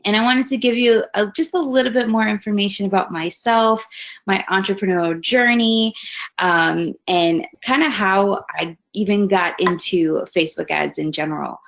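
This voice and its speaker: A woman's voice: medium pitch, moderate pace, fairly bright tone. Friendly woman presenter in her thirties, from Hawaii, chatty delivery, dull web-call audio. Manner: friendly, conversational with frequent fillers. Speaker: guest presenter. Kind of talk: webinar presentation. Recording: slightly dull webinar audio.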